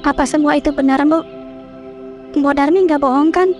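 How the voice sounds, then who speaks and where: A woman's voice: sits high, fairly even intonation, moderate pace, darkish tone, faint music behind, natural voice. A woman voicing an anxious young village wife in a horror cartoon, calling out and pleading. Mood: questioning. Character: young village woman searching for her husband.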